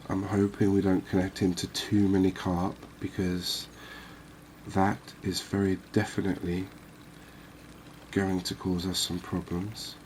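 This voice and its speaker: A man doing soft-spoken ASMR game commentary in an unhurried, calming, reassuring manner. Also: low voice, a little hiss or hum. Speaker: host of a cozy gaming channel.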